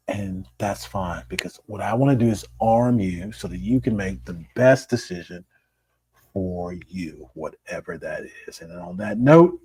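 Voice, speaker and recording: A middle-aged man, a plastic surgeon, hosting his own podcast in a relaxed, conversational way, his voice raspy and hoarse after being ill. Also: medium pitch, no echo. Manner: relaxed, conversational, repeats words. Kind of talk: solo podcast monologue.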